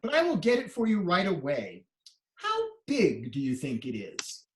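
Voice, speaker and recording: A woman playing a kindly, clever male court jester in a storybook readers theater, with playful, drawn-out phrasing. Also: lowish voice, wildly swooping pitch. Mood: gentle, reassuring. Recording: roomy echo, some background noise.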